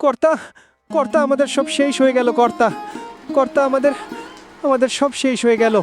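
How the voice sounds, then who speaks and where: A man plays a desperate villager in a cartoon, crying and pleading. His voice is extremely high-pitched, with music clearly playing underneath. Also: steady tone, brisk. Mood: tearful pleading. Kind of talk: animated cartoon dialogue.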